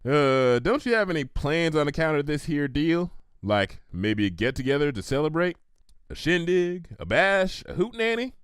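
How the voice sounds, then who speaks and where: A man's voice: on the high side, animated, moderate pace, smooth, no echo, clean studio sound, voice pushed down, lightly acted. A man voicing a tired, plain-spoken adult female farm pony with a folksy, wry way of talking. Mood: wary prodding.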